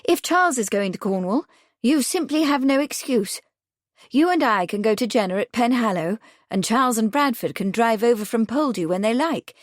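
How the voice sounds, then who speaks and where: Woman reads eager upper-class young woman, brisk and insistent, storybook, dry booth. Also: a little high, lively intonation, no echo, natural voice. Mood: bossy. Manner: brisk, emphatic, persuasive.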